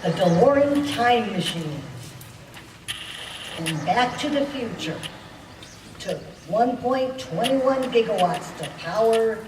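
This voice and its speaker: A woman playing an informative science explainer in an amateur radio play, deliberate and instructive. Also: on the high side, animated, background noise. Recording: echoey room, distant mic, music behind.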